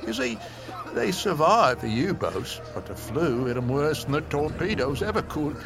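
A grave old London storyteller. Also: male voice, a little high, big pitch swings. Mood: grave, reminiscing. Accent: London English. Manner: measured, grave, theatrical storyteller. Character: very old English man telling his life story. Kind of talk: audio drama storytelling. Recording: music behind the voice.

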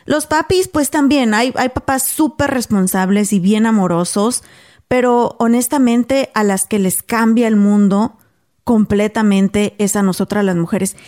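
A woman's voice: on the high side, darkish tone. A woman from Mexico hosting a casual podcast chat, earnest and conversational. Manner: earnest, conversational, laughs easily. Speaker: podcast host.

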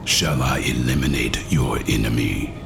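Gravelly, abyss-deep demon servant. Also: male voice, fairly even intonation, a little slow, heavily acted. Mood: menacing. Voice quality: gravelly, half-whispered growl. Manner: solemn, archaic, ceremonious speech. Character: huge demonic comic-book enforcer, archaic, menacing servant. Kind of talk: horror radio drama acting. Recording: loud music under the voice.

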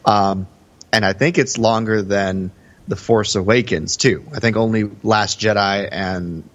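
A man's voice: fairly low pitch, fairly even intonation, unhurried. A man co-hosting a podcast, explaining things in a hesitant, conversational way during casual film analysis. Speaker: podcast co-host. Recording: slightly dull, lo-fi audio.

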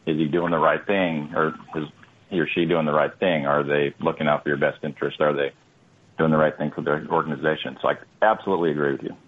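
A man co-hosting a radio talk show, agreeable and a bit halting. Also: deep voice, phone-quality sound. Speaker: radio co-host.

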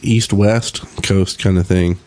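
A man's voice: deep voice, steady tone, slow pace, darkish tone. A man hosting a casual gaming podcast, chatting with a wry, joking, grumbling manner. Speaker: podcast host. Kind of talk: casual group chat about gaming.

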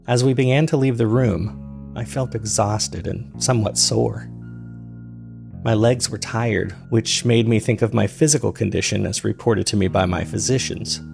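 A man narrating a first-person audio drama as a weary, reflective man, measured and introspective in his storytelling. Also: lowish voice, creaky. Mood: tired, uncertain. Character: weary man recounting strange events, reflective and uneasy. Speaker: narrator and host of a fiction podcast.

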